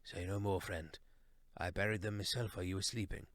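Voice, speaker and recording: A man's voice: medium pitch, big pitch swings, normal speed, bright, forceful tone, voice pushed up. A man voicing a jolly, plummy hare who blusters and says "what, what?". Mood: hearty. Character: jolly hare, plummy upper-class old soldier type.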